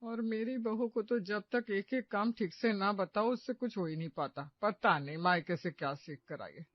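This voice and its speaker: A woman's voice: mid-range voice, normal speed, no room sound, muffled recording, natural voice. A woman playing a bossy, theatrical middle-aged mother-in-law in a cartoon story, nagging with sarcasm and melodrama. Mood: scornful. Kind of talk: animated moral-story cartoon acting.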